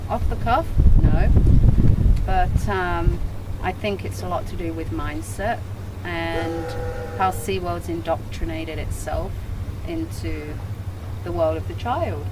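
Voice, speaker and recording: A woman's voice: lowish voice, takes it slowly. A woman giving a candid, earnest interview, thinking aloud as she goes. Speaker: freelance journalist being interviewed. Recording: loud wind and boat engine noise.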